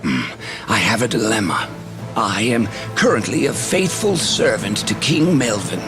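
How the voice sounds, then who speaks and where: An actor playing a scheming, menacing sorcerer in a video game, theatrical and mock-polite. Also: male voice, lowish voice, very animated, unhurried, music in the background. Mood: sinister.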